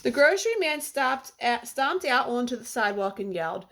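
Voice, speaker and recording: A woman reading a picture book aloud to young children like a teacher, with a deliberate, expressive delivery that slows for refrains. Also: a little high.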